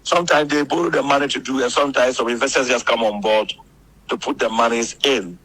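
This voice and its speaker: A man's voice: mid-range voice, steady tone, a little fast, a bit bright, poor audio. A man with a Ghanaian accent explaining things as a phone interview guest, repeating phrases and often adding "you know". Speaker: interview guest, entertainment media insider. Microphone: phone call. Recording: husky, rough radio phone-in audio.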